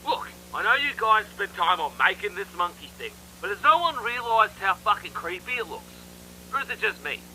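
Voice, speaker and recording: A man's voice: high voice, sing-song, normal speed, pushed and bright, heavily acted. A man playing an exasperated, blunt, sweary commercial voice actor in a fan-made horror series, in a noisy clip.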